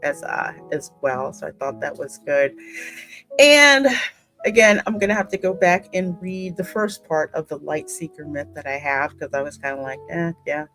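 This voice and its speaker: A woman's voice: sits low, expressive, normal speed, a bit bright, soft background music, low-quality recording. A woman in casual, informal chat as a gaming co-host, with little self-mocking asides. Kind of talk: casual chat reacting to a game.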